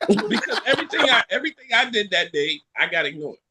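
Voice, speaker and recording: Guy on a podcast spinning a story, bright slightly breathy voice, pitch jumping all over, does little voices for people. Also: quick pace, a little hiss or hum. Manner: animated storyteller who acts out other people's lines and laughs mid-sentence. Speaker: podcast co-host. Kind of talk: casual chat, storytelling.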